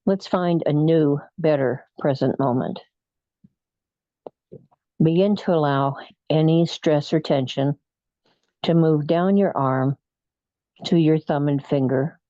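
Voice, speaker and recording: A meditation leader speaks very slowly in a mellow, soothing tone. Phrases come in short pieces with frequent pauses, in a hypnotic relaxation style. The recording is dry and a little muffled. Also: medium pitch, dry recording. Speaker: guided imagery meditation leader.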